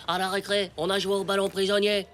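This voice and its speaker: A woman's voice: medium pitch, level tone, fast, a bit bright, a little hiss or hum, close to an everyday voice. A woman voicing a hot-headed, dramatic ten-year-old French schoolboy in a cartoon, exasperated and slangy. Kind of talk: French animated series dubbing.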